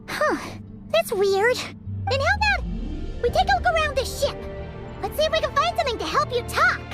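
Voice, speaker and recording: Casting: tiny childlike game sidekick, extremely high-pitched and wildly animated, inquisitive, exclamatory, quick to get exasperated. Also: female voice, loud music behind the voice. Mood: curious, puzzled. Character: small excitable childlike floating companion, female, easily exasperated. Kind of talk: animated video game dialogue.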